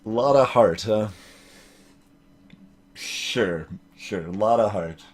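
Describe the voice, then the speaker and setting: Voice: mid-range voice, extremely slow, pitched below the performer's own voice. A performer voicing a young male lawyer from a video game, polite, hesitant and dryly wry. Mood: dry, unsure. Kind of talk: video game character voice-over.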